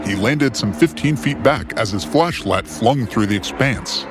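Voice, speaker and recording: A man narrating a scripted story in a measured, deliberate, dramatic way. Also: medium pitch, expressive, music in the background.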